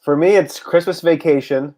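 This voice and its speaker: Man with a cold-deepened voice, chatting. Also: moderate pace, warm tone. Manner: talkative, often trails off and restarts. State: under the weather, voice deep. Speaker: co-host. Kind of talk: casual live chat.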